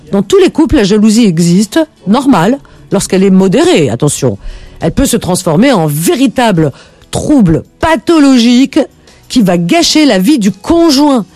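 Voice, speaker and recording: A woman's voice: medium pitch, warm tone, no echo. A woman hosting a late-night call-in radio show, gushing and laughing often as she invites listeners to share their stories.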